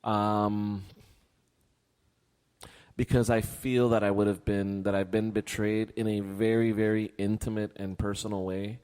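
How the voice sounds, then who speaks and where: A middle-aged man chatting casually and candidly as a podcast co-host, phrasing his thoughts in a reflective, halting way. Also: lowish voice, level tone, darkish tone, clear, resonant tone. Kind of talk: casual conversational podcast chat. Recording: echoey hall-like reverb.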